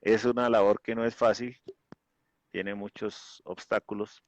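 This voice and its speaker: Low Colombian man's voice, dry. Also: level tone, unhurried, no echo, muffled recording. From Colombia, Boyacá. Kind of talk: extemporaneous religious debate introduction.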